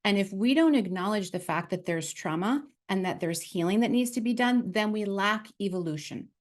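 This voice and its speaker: A woman's voice: mid-range voice, animated, normal speed. A woman hosting a podcast, explaining her view thoughtfully and affirmingly, often checking in with "right?". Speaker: podcast host. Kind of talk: conversational podcast commentary.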